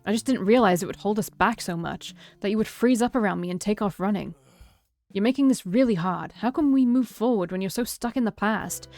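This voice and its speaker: A woman's voice: medium pitch, a little fast, darkish tone, no room sound, lightly acted. Woman acting brooding young man, hurt, curt, hot-tempered, tense clipped delivery, storybook dialogue, faint music. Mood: angry, hurt. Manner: tight, clipped, growling through gritted teeth. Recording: background music behind the voice.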